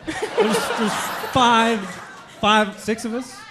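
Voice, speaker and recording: A man chatting casually and jokingly as a convention panelist, with halting, repetitive phrasing. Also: very high voice, sharp tone. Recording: faint noise, live convention panel.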